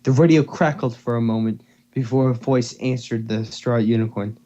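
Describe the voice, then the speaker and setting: A man's voice: mid-range voice, fairly even intonation, moderate pace, warm tone. Dull-sounding amateur male narrator. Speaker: narrator and co-host. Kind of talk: amateur fan fiction reading with casual asides. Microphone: computer or headset mic on a voice call.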